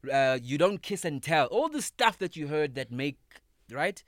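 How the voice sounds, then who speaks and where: A man in his thirties, a comedian guest in a podcast studio, talking casually, emphatic and animated, swearing freely. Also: a little high, moderate pace, no room sound. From South Africa. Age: 30s. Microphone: studio microphone.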